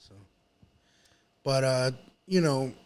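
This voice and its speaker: A man's voice: mid-range voice, takes it slowly, clear, resonant tone. A man in his thirties with a New York accent, chatting casually as a rapper on a podcast, hesitant and often saying "you know". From New York City. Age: 30s. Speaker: rapper being interviewed.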